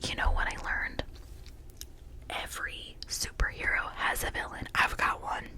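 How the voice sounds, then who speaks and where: Whispering woman, breathy ASMR ramble. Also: moderate pace. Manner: rambling, reflective, earnest. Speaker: ASMR video creator and host.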